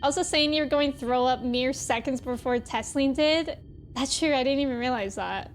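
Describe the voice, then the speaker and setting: A woman's voice: high-pitched, moderate pace, slight background noise. A woman livestreaming a video game, chatting casually and expressively as she reacts and reads chat messages aloud.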